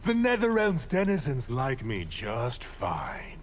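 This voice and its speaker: Sinister, slow-spoken undead sorcerer. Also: male voice, mid-range voice, wildly swooping pitch, a little hiss or hum. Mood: sly, threatening. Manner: sinister, theatrical, deliberate. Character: sly undead male sorcerer, cold-blooded soul-stealing villain. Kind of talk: fighting game character dialogue. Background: game music.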